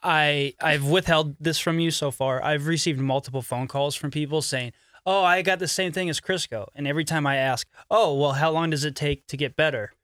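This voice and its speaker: A man co-hosting a radio show, speaking in a measured, deadpan way. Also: on the high side, dry recording, clean studio sound. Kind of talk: casual banter.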